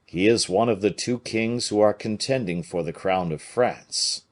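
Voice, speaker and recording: A man reading an audiobook, voicing a grave, measured conspirator bishop who speaks in a solemn, placating way. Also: on the low side, lightly acted. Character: Aramis, grave, smooth-talking conspiring bishop.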